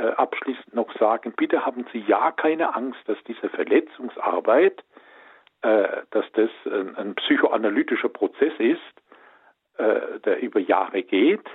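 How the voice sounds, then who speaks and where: A man counseling listeners, his voice slightly husky and dry. He reassures and stresses key points gently. He comes through a narrow, phone-like line. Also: mid-range voice, no room sound. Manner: warm, reassuring, gently emphatic. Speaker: pastoral counselor, studio guest expert. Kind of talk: advice on a call-in show.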